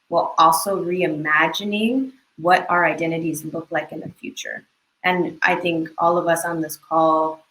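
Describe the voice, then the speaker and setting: A woman's voice: fairly low pitch, pushed and bright, poor audio. A woman speaking casually as a creative producer on a discussion panel.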